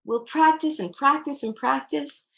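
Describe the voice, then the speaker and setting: Woman putting on an excitable, high-pitched little girl. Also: unhurried, gravelly, full character voice. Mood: hopeful. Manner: exclaiming, pleading. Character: eager, excitable little girl wanting a wedding role.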